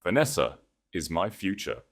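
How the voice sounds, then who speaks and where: A low-voiced, arrogant husband, acted out by a male narrator, obsessed with status. He speaks with contempt and gives orders as if everyone were beneath him. The delivery is vicious, in the style of a romance audio drama. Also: sing-song, normal speed, exaggerated voice. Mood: furious, dismissive. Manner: contemptuous, commanding.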